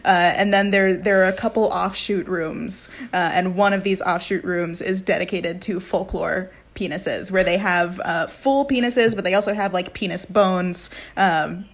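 A woman's voice: fairly low pitch, fairly even intonation, unhurried, hardly any pauses. A woman co-hosting a casual podcast, telling a fact with enthusiasm, frequent laughs and fillers. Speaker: podcast co-host presenting a fact.

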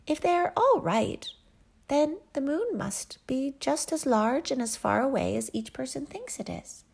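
Woman voicing a playful young jester, high and bouncy, lively swoops. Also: a little slow, vocal fry, exaggerated voice. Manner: bright, bouncy and gentle. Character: playful, clever young male court jester.

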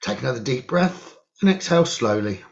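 A man's voice: medium pitch, lively intonation, creaky. Man guiding a meditation, slightly muffled recording. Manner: measured, hushed delivery in short phrases with pauses. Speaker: meditation teacher leading a guided meditation. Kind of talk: slow guided meditation, soothing instruction.

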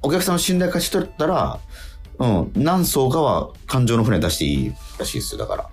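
A man hosting a casual radio talk, posing quiz questions, haltingly repeating himself and slowing down to stress key phrases. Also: mid-range voice, huge pitch swings, breathy edge, soft background music.

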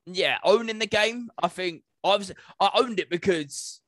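A man's voice: high voice, a little slow, fairly bright tone, no echo, clean studio sound. A man chatting casually as a podcast co-host. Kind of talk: casual chat.

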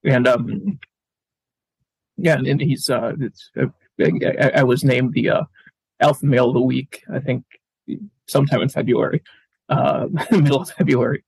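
A man's voice: mid-range voice, fairly even intonation, normal speed, vocal fry, long pauses, a little mellow, husky, no echo, poor audio. A man speaking casually as a podcast guest, stammering and often repeating words as he goes. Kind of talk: casual interview talk. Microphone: video call.

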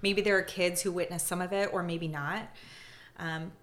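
A woman's voice: on the low side, fairly even intonation, normal speed, a bit bright. A young woman speaking as a prosecutor in casual conversation, thoughtful and hesitant, with many fillers and restarts. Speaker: prosecutor, podcast guest.

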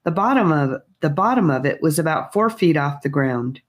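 A woman reading a children's fantasy novel aloud in a gentle, unhurried storytelling manner. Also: low voice, animated. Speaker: audiobook reader. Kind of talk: storybook narration.

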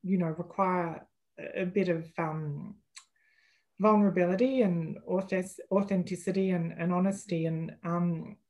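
A woman's voice: on the low side, fairly even intonation, a little slow, a little mellow. A middle-aged Australian woman, an employment lawyer speaking as a podcast guest, thoughtful and hesitant, with many fillers and restarts. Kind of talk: podcast interview.

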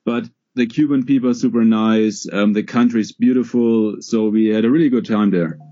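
Man, mellow voice, dull lo-fi line, relaxed guest. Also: lowish voice, moderate pace. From Germany. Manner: relaxed, with many fillers and restarts. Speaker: interview guest. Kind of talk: casual conversational interview.

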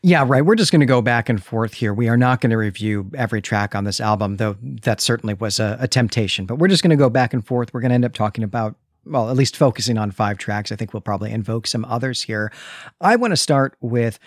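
A man's voice: medium pitch, fast, no echo. A man with a mid-range voice talks as a podcast co-host. He reviews in a conversational style, enthusiastic and full of opinions. The sound is dry, with very little room.

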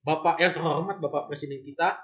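Young man, somewhat high pitch, brisk pace, casual podcast chat, dull audio. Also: fairly even intonation. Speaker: podcast panelist.

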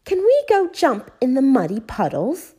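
A woman plays a little girl piglet from a children's storybook, eager and a bit bossy. The voice is high and childlike, swooping up and down with exclamations. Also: wildly swooping pitch, slow, warm tone, heavily acted.

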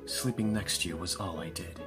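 A man playing a composed vampire lord in an audio roleplay, speaking formally and with calm authority to a servant. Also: deep voice, a little fast, lightly acted. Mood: calm, teasing. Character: lordly young-sounding male vampire master, calm and commanding. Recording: music behind the voice. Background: music.

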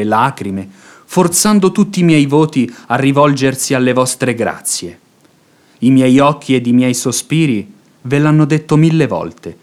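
A man's voice: medium pitch, natural voice. An actor playing a fawning, impassioned religious hypocrite in a radio drama, declaiming his pleas in florid, unctuous phrases. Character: pious hypocrite seducer, fawning, impassioned, silver-tongued man. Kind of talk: theatrical radio drama acting.

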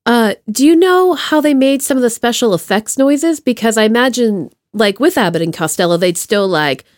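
A woman's voice: on the high side, normal speed, dry recording. Informal woman podcast host, dry close sound. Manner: informal, slangy, expressive. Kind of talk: casual chat, interviewing.

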